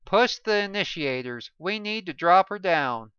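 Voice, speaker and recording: Sharp scolding male doctor, crisp voice, dry dull recording, narrator playing him. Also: mid-range voice, expressive, a little slow, no echo, voice pushed up. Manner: critical, clipped.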